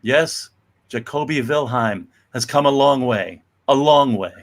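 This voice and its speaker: A man's voice: mid-range voice, unhurried, close to an everyday voice. A man playing a kindly, self-made older immigrant father in a dramatic comic-book reading. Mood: wistful pride.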